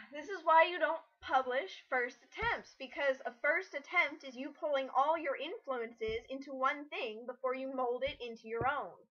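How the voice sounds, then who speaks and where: A woman with a very high, bright voice chats through a mocking dramatic reading. She sounds incredulous and fussy about wording, and the home recording is a little muffled and lo-fi. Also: moderate pace. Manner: incredulous, pedantic about grammar. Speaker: writer co-hosting a mock dramatic reading. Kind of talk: mocking read-aloud commentary, casual chat.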